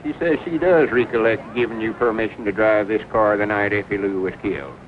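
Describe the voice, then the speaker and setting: A man's voice: fairly low pitch, brisk, natural voice. An actor playing an earnest, bossy small-town country man with a rural Southern accent in a 1940s film. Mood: firm, accusing. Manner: folksy, drawling rustic speech. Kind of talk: 1940s film comedy-drama acting. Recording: tinny, noisy 1940s film soundtrack.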